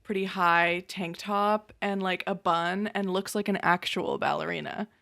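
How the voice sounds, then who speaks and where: A woman's voice: fairly low pitch, steady tone, a little slow, gravelly, fairly bright tone, dry recording, clean studio sound. A woman podcast co-host chatting casually about a TV episode in an informal, blunt, slangy way. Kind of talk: casual TV recap chat.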